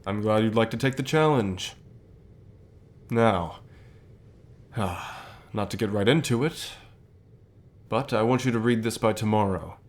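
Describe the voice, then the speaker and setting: A man acts out a calm company boss with a fairly low voice. He talks in a measured, unhurried way, leaving pauses between phrases. It is an intimate ASMR roleplay, encouraging and lightly flirtatious in temper. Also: lightly acted. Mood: businesslike, encouraging. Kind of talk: ASMR roleplay, softly acted one-sided dialogue.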